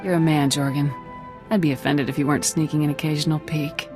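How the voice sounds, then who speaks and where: A confident woman officer in command, played by an actress in a video game. She speaks directly and with assurance. Clear music plays behind her. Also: low voice, fairly even intonation, quick pace, natural voice. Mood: frank. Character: confident, direct female military squad commander.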